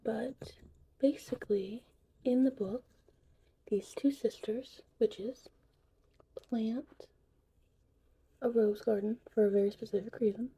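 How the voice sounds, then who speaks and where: A woman playing a gentle, whimsical witch in an ASMR roleplay, speaking in a soft, breathy, soothing whisper. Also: on the high side, fairly even intonation, full character voice, low-quality recording. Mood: gentle, confiding. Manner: slow, soothing, unhurried. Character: gentle, whimsical female witch teaching potion making. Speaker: ASMR performer.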